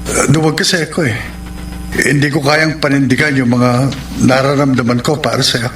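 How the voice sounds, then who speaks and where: An actor playing an apologetic young man, torn and afraid to commit, speaking haltingly and earnestly in a radio romance drama. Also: medium pitch, steady tone, a bit bright, natural voice. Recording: roomy, distant voice with heavy background noise.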